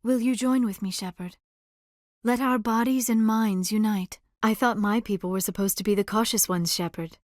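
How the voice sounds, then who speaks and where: A woman voicing a shy, earnest young female alien scientist in a video game, speaking in formal, measured phrases. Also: medium pitch, steady tone, no room sound, studio quality, natural voice. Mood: earnest, nervous. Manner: formal, precise, measured phrasing with few contractions. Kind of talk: dramatic video game voice acting.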